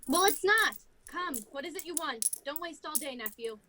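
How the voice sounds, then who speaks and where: A high-voiced, miserly older woman boss played by a woman in an amateur radio play. She is cold and grumpy, snapping curtly and scornfully at everyone. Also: expressive, normal speed, bright, forceful tone, slight background noise. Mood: scornful, irritable.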